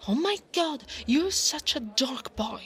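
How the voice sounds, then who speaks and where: A woman's voice: medium pitch, close to an everyday voice. A woman playing a big, domineering but insecure dragon college bully in an ASMR roleplay, with a teasing, haughty manner. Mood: mocking, haughty. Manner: teasing, haughty, drawn-out delivery with breathy asides.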